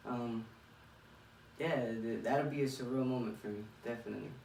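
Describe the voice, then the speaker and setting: A man's voice: medium pitch, normal speed, creaky, a little mellow. A young man from Miami, a professional boxer, chatting casually in an interview and thinking aloud with repeated phrases. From Miami, United States. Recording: echoey room, distant mic, faint noise.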